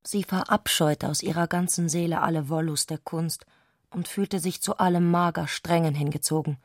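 A woman's voice: on the low side, steady tone, normal speed, no echo, studio quality. A woman reading scripted literary prose as a narrator in a radio adaptation.

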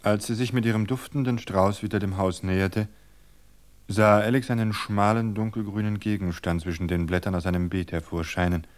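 A man narrating a novel in a calm, measured storytelling voice. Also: on the low side.

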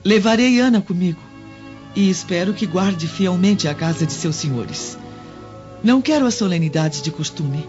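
A woman's voice: lowish voice, expressive, moderate pace, close to an everyday voice. A woman acting a composed, commanding Roman mistress of the house in a radio drama. She speaks formally and with resolve. The sound is dull, with faint music underneath. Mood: resolute. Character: dignified, resolute Roman senator's wife, kindly mistress. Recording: muffled lo-fi recording.

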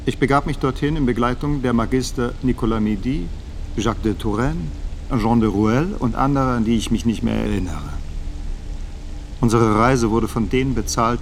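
An actor playing a careful middle-aged theology professor giving formal, measured testimony in a radio drama. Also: male voice, mid-range voice, a little hiss or hum, lightly acted. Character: guarded, careful 56-year-old theology professor testifying as witness.

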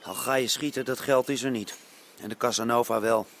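Guy playing a stubborn crook doing the bargaining, blunt, average pitch, bit of outdoor noise, radio play. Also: steady tone, brisk, a bit bright, natural voice. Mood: defiant, urgent. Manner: blunt, insistent. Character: stubborn male underworld go-between bargaining for a captive. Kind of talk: audio drama acting.

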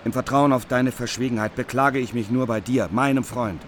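A man's voice: mid-range voice, steady tone, a little fast, a little hiss or hum, natural voice. An actor playing a humiliated, anxious king with a plaintive, pleading manner in a literary radio drama. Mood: bitter, ashamed.

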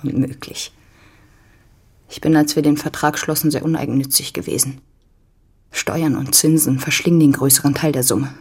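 A woman's voice: deep voice, fairly even intonation, normal speed, pauses often, husky. An actress playing a hard, domineering rich farm woman in a literary radio drama, stern, commanding and scornful. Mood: curt, commanding.

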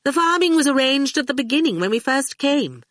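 A haughty, ageless beauty who rules her town voiced by a woman audiobook reader. She sounds gracious on top and cold underneath, with lively swings of pitch in a dry recording. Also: a little slow, dry recording. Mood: pouting, breezy. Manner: pouting, cold then gracious. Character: haughty, glamorous, ageless woman ruler, sweetly condescending, icily angry. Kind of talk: audiobook character voice.

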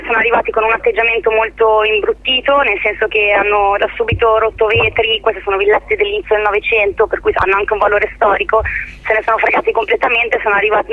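High-voiced man on the phone. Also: no room sound. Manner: informal, wordy, emphatic repetition. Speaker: activist spokesperson for a squatted social centre. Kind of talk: live phone interview, spontaneous eyewitness account. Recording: tinny phone line.

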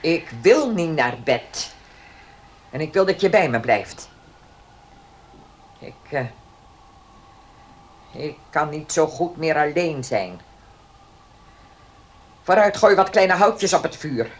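An actress playing an imperious, restless elderly mistress of a house in a radio drama, commanding and grumbling with halting speech. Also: female voice, low voice, expressive, slight background noise. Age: older. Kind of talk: Dutch radio drama acting.

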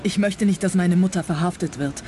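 An actress playing a worried, proper schoolteacher in a radio play, speaking in an earnest, correct way. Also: female voice, lowish voice, brisk, mellow, natural voice. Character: worried, proper schoolteacher daughter hiring a detective. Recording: faint background ambience noise.

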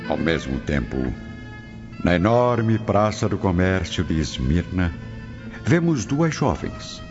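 A man's voice: sits low, very animated, takes it slowly. A man with a Brazilian accent giving dramatic scripted narration as the narrator of a radio drama. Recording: dull lo-fi audio. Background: prominent music.

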